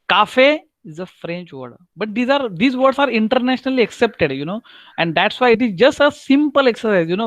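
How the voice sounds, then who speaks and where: Marathi-accented male teacher, higher-pitched voice, patient and repetitive, dry computer-mic sound on a video call. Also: a bit bright, no room sound, highs cut off. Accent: Marathi-accented Indian English. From Maharashtra, India. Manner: repeats himself, keeps asking "right?", spells out German words slowly. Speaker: German language teacher. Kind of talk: online lecture to a class.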